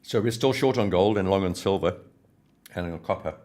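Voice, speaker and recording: A hoarse man hosting a trading livestream, greeting viewers by name in a friendly, rambling way before going through market news. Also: sits low, brisk, a little mellow. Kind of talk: casual live-stream chat and market commentary.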